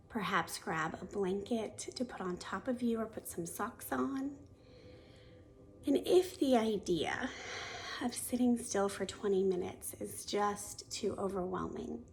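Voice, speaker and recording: Female meditation guide: soft, soothing delivery, very unhurried, with noticeable fry; some ambient noise. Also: mid-range voice. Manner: soothing, unhurried, gently instructive. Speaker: yoga and meditation teacher.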